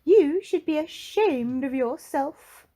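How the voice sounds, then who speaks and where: A woman's voice: sits high, lively intonation, takes it slowly, warm tone, voice pushed up. A woman voicing a prim, haughty little storybook lady who speaks in an emphatic, exclamatory way. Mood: stern, indignant. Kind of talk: storybook character voice.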